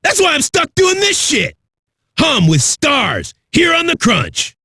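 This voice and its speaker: An actor playing a cocky, crude, manic metal radio DJ with a raspy, shouty delivery. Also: male voice, very high-pitched, wildly swooping pitch, slow pace, exaggerated voice. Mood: hyped, brash. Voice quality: raspy, gravelly. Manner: brash, profane, shouty. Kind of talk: hard rock radio DJ patter.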